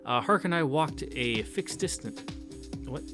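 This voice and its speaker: A man's voice: a little high, lively intonation, moderate pace. A man hosting a visual novel read-through, reading the narration aloud and adding casual asides. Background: game music.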